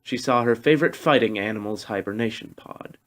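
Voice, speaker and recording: A man's voice: lowish voice, fairly quick, fairly bright tone. A man narrating a science fiction novel in the style of an audiobook, reading from a script.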